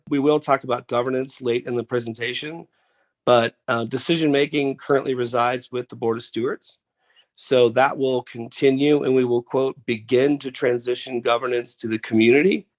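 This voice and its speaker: Congested male founder, off-camera call presenter, chatty and loose with frequent restarts. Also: mid-range voice. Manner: chatty, rambling, with many fillers and restarts. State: congested head cold. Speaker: founder and chief executive presenting to members. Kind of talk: casual online presentation. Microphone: off camera, video call mic.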